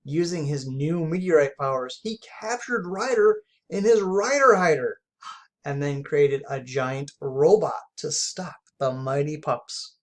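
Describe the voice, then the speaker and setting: An expressive narrator reads a children's adventure picture book aloud. The voice is of medium pitch, with emphatic delivery aimed at young listeners. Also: unhurried. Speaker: story reader. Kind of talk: children's storybook read-aloud.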